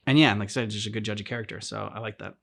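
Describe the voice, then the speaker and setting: A man hosting a reaction channel, casually discussing a game's story in a halting way, repeating words as he thinks aloud. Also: fairly low pitch, steady tone, vocal fry, fairly bright tone. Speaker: host of a game reaction channel.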